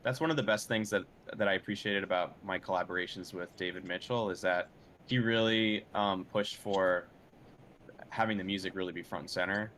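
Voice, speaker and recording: A man with a very low-pitched voice talks casually as a guest in an interview. He is hesitant and feels his way through each thought as he speaks. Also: fairly even intonation, brisk, fairly bright tone, a little hiss or hum, rough recording. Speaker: interview guest, film and game composer.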